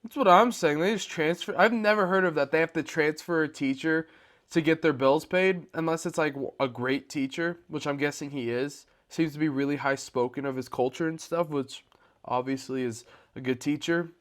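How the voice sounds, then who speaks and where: A young man hosting a movie reaction, chatting excitedly. He has a cold, so his voice sounds slightly raspy and nasal. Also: fairly high pitch, moderate pace. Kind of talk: casual commentary.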